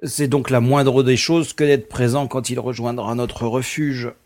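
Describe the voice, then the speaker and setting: A man playing a wry space pilot, articulate and gently ironic, in an audio drama. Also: medium pitch, steady tone, unhurried, close to an everyday voice. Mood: agreeable.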